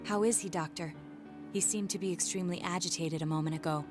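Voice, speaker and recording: A woman's voice: fairly low pitch, steady tone, fast talker, music in the background, close to an everyday voice. A woman playing a composed young detective in a video game, earnest, formal and precise in explaining. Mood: formal, concerned. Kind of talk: English video game voice acting.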